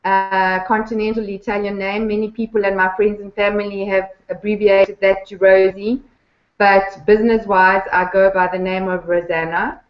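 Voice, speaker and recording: A woman's voice: medium pitch, little pitch movement. Enthusiastic woman on an echoey line. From Zimbabwe. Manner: warm, enthusiastic, unhurried with thoughtful pauses. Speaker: guest. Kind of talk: casual interview chat. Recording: echoey, dull lo-fi remote line.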